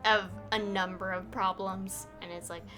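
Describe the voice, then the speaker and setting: A woman's voice: medium pitch, steady tone, normal speed, sharp tone, soft background music. A woman game streamer chatting casually on a livestream.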